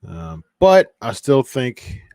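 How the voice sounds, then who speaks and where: A Midwestern man hosting a live stream, talking loosely and reading out viewer comments. His voice sits at an ordinary middle pitch. The sound is dry and close, with no room around it. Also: very animated, slow, darkish tone, no echo. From Ohio, USA. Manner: reads viewer comments aloud, chatty with fillers. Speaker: watch party host. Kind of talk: casual live-stream chat.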